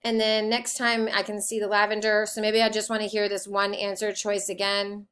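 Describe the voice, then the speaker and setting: A woman teacher giving an informal, step-by-step software tutorial in an explanatory way. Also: medium pitch, monotone, normal speed.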